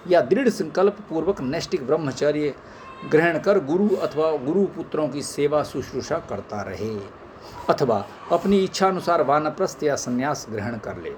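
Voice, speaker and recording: A man's voice: a little high, animated, moderate pace, darkish tone, slight background noise. A man reading scripture aloud in a formal way, phrase by phrase, in an amateur recording. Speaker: reader of scripture.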